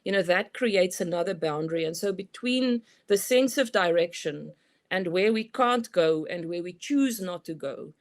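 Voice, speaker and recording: A woman's voice: on the low side, lively intonation, moderate pace. South African woman explaining thoughtfully. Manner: thoughtful, explanatory, frequent "you know" fillers. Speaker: guest expert. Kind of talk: conversational interview.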